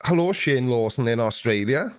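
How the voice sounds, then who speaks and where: A man's voice: medium pitch, animated, moderate pace, clean tone. A middle-aged man hosting a live Q&A stream, chatting casually in a rambling, sarcastic, self-mocking way.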